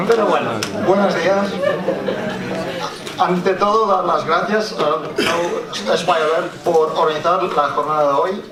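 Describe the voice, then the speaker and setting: A man's voice: high-pitched, slow pace, long pauses, crowd noise. A man from Scotland with a Scottish accent, speaking Spanish as a second language, reads a prepared conference talk carefully and often restarts words. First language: English. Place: public hall. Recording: roomy hall, distant mic, faint noise.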